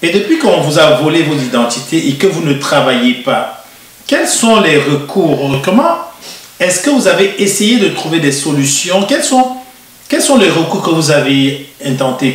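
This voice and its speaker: A man hosting a TV interview show, speaking in a measured way with frequent hesitations. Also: fairly high pitch, lively intonation. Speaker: TV show host. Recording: roomy echo, distant mic.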